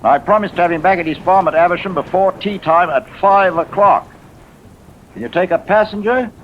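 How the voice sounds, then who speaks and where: A man as a brisk, higher-voiced British general. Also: natural voice. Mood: brisk, commanding. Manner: clipped, authoritative. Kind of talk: 1950s radio acting. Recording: muffled vintage radio with faint noise.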